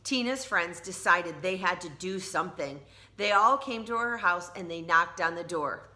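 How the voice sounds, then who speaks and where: Woman, teacher, bright forceful voice, animated storybook read-aloud, drawn-out emphasis, addresses children directly. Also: lowish voice.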